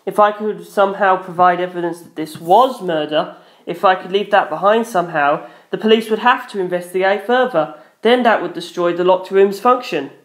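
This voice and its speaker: A man's voice: sits high, moderate pace. A man reading a first-person story aloud as a dramatic narrator. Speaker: audiobook-style narrator. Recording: roomy echo.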